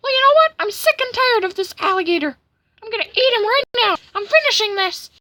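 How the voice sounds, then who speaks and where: A woman plays an aggressive little marshmallow man from a homemade story. The voice is pitched very high and pushed forward, bright and forceful, with taunting, bullying delivery. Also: lively intonation, fairly quick, no echo, exaggerated voice. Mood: angry, defiant. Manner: threatening, taunting.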